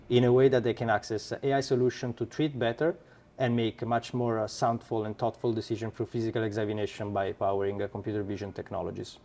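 A man with an Italian accent, a startup founder being interviewed, who talks haltingly with many fillers and restarts. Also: medium pitch, dark tone. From Italy. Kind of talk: podcast interview.

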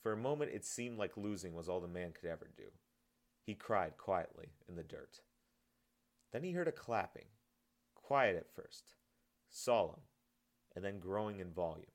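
A man's voice: low voice, animated, moderate pace, pauses often. A man reading his own story as scripted audiobook narration.

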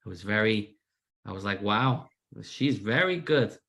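A man's voice: fairly low pitch, lively intonation, measured pace, bright, forceful tone. A man from England with a Manchester accent gone a little American, a musician chatting as a guest in a casual interview, self-deprecating and often repeating words as he talks. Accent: Manchester English, slightly Americanized.

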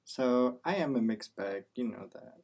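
A man's voice: medium pitch, lively intonation, normal speed, vocal fry, a little mellow. A nineteen-year-old man co-hosting a podcast, playful and teasing in casual chat. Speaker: podcast co-host, business student. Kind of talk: scripted intro, then casual chat.

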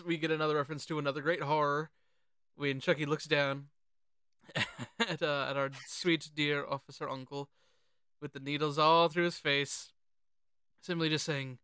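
A podcast co-host talking casually and informally about films, animated and sweary. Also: medium pitch, unhurried, pauses often, clean tone, dry recording, studio quality. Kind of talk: casual film discussion.

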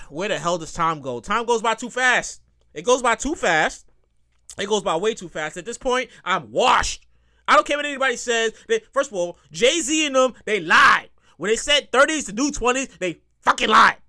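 Rambling profane man with high lively voice. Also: moderate pace. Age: 30s. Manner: rambling, profane, repeats himself; animated, acts out quotes. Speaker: podcaster. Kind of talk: casual solo podcast monologue.

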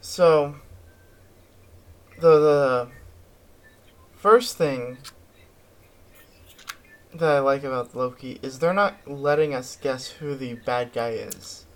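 Slow-talking man hosting a podcast. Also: medium pitch. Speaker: podcast co-host. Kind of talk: casual pop culture podcast chat.

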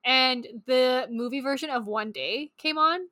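Woman, high voice, bright tone, dry close sound, expressive and sweary podcast co-host. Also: measured pace, no room sound. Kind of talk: casual book chat.

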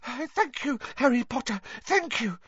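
A woman voicing an excitable, timid male house elf in a storybook, with a squeaky voice and deferential, broken third-person grammar. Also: normal speed, husky, no room sound, dull audio. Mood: fearful, breathless.

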